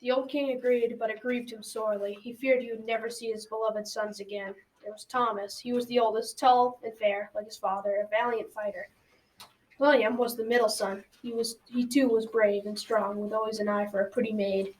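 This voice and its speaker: A woman's voice: a little high, flat delivery, brisk, darkish tone, lightly acted. A teenage girl narrating a folktale as scripted storytelling in an amateur school radio drama. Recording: noisy, distant from the mic.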